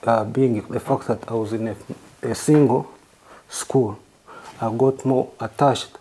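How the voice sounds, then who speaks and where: A man from southern Uganda with a Ugandan accent, answering interview questions in halting, formally worded phrases. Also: mid-range voice, fairly even intonation, mellow, slightly rough, rough recording. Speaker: interview guest.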